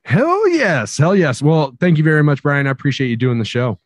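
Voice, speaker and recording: A man hosting a podcast, hoarse from a cold, signing off with enthusiastic, slangy casual talk. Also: on the high side, very animated, normal speed, no echo. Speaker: podcast host. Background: music.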